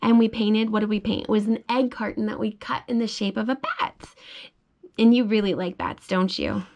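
A young mother reading a picture book aloud to her toddler at bedtime, slow and sing-song, asking coaxing questions about the pictures. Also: medium pitch, steady tone, darkish tone. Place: child's bedroom at home. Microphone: phone held above the reader's lap.

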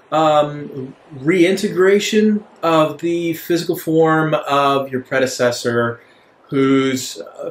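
A man playing an urgent, evasive guide in a tabletop game, explaining things in halting bursts. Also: fairly high pitch. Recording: echoey room.

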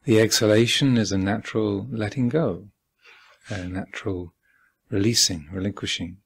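A man with a husky voice guiding a meditation as a teacher, speaking calmly and deliberately with long pauses. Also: on the low side. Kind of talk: guided meditation instruction. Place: meditation hall at a retreat.

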